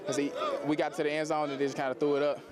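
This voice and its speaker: Fast-talking higher-voiced young man. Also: flat delivery. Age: young adult. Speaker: football player. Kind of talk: casual postgame interview. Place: outdoors at a stadium. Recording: faint crowd outdoors.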